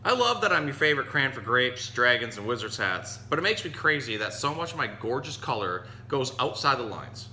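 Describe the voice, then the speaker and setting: A man's voice: medium pitch, sing-song, a little fast, hardly any pauses, sharp tone. A man reading a storybook, voicing a fussy, exasperated purple crayon that insists on neatness. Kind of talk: storybook read-aloud. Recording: echoey room with audible hum.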